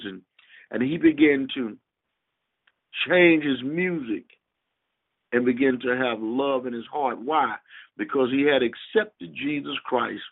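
A man preaching a sermon over a conference call, speaking emphatically and deliberately in short, halting phrases. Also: mid-range voice, creaky. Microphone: telephone. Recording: tinny narrowband phone line.

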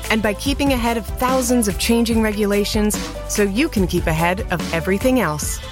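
A woman announcer reading scripted advertising narration in a composed, polished commercial style. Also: mid-range voice. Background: music.

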